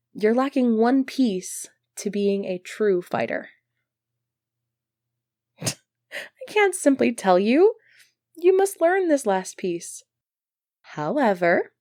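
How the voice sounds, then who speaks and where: Woman acting an earnest, unhurried lady knight. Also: medium pitch, a little mellow, no room sound, studio quality. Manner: polite, formal, nervously flustered. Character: earnest, flustered female medieval knight, devoted to her prince. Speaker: ASMR roleplay performer. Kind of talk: ASMR roleplay acting, formal old-fashioned speech.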